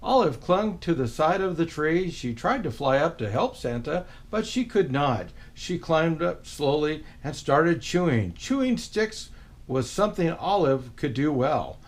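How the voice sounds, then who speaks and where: A man reading a children's picture book aloud as a storyteller, expressive and unhurried. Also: fairly high pitch.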